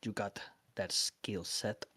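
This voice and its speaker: A man's voice: on the low side, steady tone, slow pace, a crowd in the background. A man playing a tabletop roleplay game, casually bantering and speaking partly in character.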